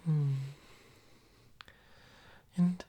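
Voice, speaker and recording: A woman voicing a tender, reassuring partner in a comfort roleplay, speaking softly and breathily with gentle pauses, often near a whisper. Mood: gentle, understanding. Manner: gentle, soothing, unhurried, with pauses. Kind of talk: soft-spoken comfort roleplay audio, ASMR.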